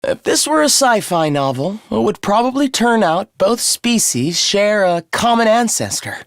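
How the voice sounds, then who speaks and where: A man's voice: high-pitched, very animated, moderate pace, dry recording, studio quality. A young man playing a smug, mocking villain in a video game, with a condescending, theatrical delivery. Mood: coolly puzzled. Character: taunting, smug young villain living in someone's head. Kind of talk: video game character acting.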